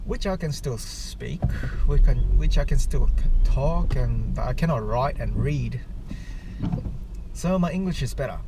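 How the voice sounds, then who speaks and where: A man whose first language is Japanese, rambling casually in a vlog on his way to work, often restarting and searching for words. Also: medium pitch. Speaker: vlogger. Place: outdoors on the way to work, traffic nearby. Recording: street traffic noise behind. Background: car traffic.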